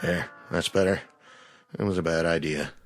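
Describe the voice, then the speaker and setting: An actor playing an easygoing, reassuring diner cook in a classic television drama. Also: male voice, deep voice, steady tone, normal speed, soft background music, natural voice. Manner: laid-back, reassuring. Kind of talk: 1960s television drama acting.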